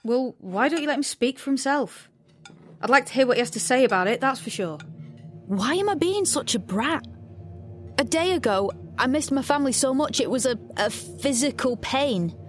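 An actress playing a resentful, rebellious teenage girl in an audio drama, with a sullen, challenging manner. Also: sits high. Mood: inner turmoil.

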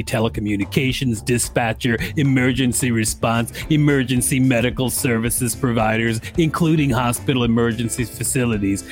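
An American man hosting a podcast, reading reference text aloud and bantering casually. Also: medium pitch. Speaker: podcast host.

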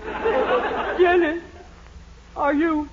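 Animated, domineering radio sitcom matron. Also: female voice, very high voice, very slow, some chatter behind, heavily acted. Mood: frightened, pleading. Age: middle-aged. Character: domineering, dramatic middle-aged mother-in-law-to-be. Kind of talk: 1940s radio sitcom acting. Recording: noisy, muffled 1940s radio recording.

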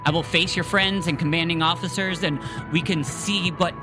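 A podcast host voicing a calm young soldier who speaks gently and earnestly. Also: mid-range voice, moderate pace, music in the background, voice pushed up, natural voice. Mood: pleading. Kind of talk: amateur read-aloud scene.

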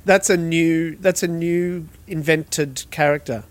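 A man's voice: a little high, fairly even intonation, slow. A man hosting a podcast quiz, reading out questions and confirming answers in a friendly, lightly teasing way. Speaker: quiz host.

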